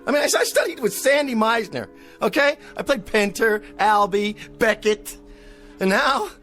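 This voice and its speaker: Pompous, animated TV western star. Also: male voice, sits high, normal speed, faint music behind. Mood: indignant, self-pitying. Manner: theatrical, exasperated, haughty. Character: pompous, petulant male TV western star, self-pitying. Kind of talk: radio drama acting.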